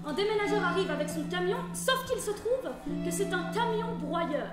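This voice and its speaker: High-voiced woman telling a dream story. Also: expressive, normal speed. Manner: vivid and dramatic, acting out shouted commands. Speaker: stage performer. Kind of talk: storytelling monologue. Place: theatre stage. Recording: echoey stage with loud music. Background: loud music.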